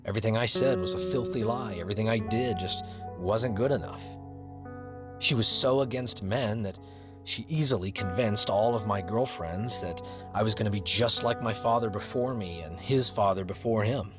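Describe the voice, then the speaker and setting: Man acting low-voiced, grumpy, wry narrator. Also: normal speed, over music, natural voice. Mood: wounded, bitter. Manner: wry, reflective. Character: grumpy, wounded bookstore manager recalling his family. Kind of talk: first-person dramatic narration.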